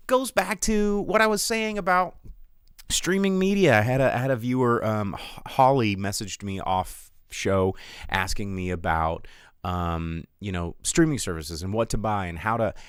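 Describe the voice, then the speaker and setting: An American man with a fairly deep, resonant voice hosts a live film show. He chats loosely with viewers and rants with animated conviction, repeating words for emphasis. The sound is dry, with very little room in it. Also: smooth, no echo. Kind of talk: casual livestream chat with viewers.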